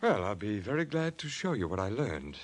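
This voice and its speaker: Sardonic smooth operator, mock courtesy, slightly deep, animated intonation, 1940s radio crime acting. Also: male voice, fairly quick. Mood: wry, cool.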